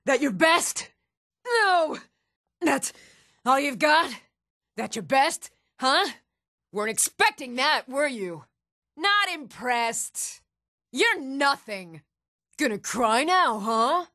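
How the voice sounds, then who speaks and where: High-pitched, fierce warrior woman. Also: big pitch swings, takes it slowly, pauses often, exaggerated voice. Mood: taunting. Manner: shouted taunts, grunts and threats. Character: fierce, taunting female warrior in battle. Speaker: video game voice actor. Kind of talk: fantasy game combat barks.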